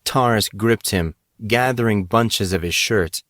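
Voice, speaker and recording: Low-voiced man narrating fiction. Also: measured pace, a bit bright, no echo, clean studio sound.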